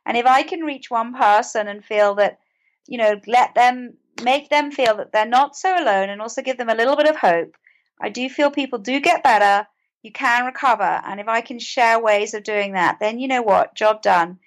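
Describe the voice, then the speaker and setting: A woman's voice: a little high, moderate pace. A woman with a British accent speaking as an interview guest, earnest and conversational, often repeating words as she goes. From United Kingdom. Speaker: podcast guest, author and mental health advocate.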